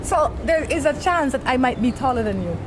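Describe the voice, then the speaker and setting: A woman's voice: high voice, lively intonation, fairly quick, background noise. A woman hosting an advice talk show outdoors, giving blunt, scolding advice. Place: outdoors in the sun.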